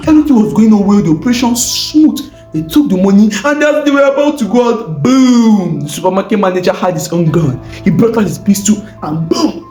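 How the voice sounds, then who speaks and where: A man with a West African accent telling a story in an animated, informal way, full of exclamations, repeated phrases and rhetorical questions. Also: sits high, brisk, few pauses. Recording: roomy echo, faint music bed.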